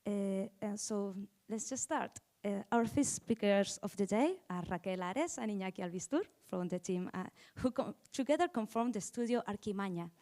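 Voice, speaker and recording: A woman hosts an event with a semi-formal welcome speech. Her voice sits at a middle pitch as she introduces the program and its speakers. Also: expressive, moderate pace, few pauses, mellow.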